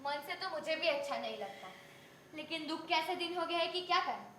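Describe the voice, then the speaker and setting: Harried, sarcastic daughter-in-law, family drama; very high, sharp voice, echoey room. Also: female voice, lively intonation, fairly quick, slight background noise. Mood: bossy, weary. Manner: complaining, blunt, sarcastic. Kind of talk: naturalistic Hindi family film dialogue.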